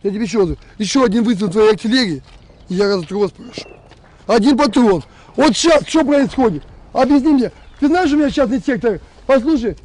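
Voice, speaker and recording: A man's voice: very high voice, expressive, brisk. A man in his thirties from Donbas, a militia commander angrily threatening someone over a phone call outdoors on a road. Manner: angry, shouting threats and insults. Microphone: camera a few meters away, on a phone.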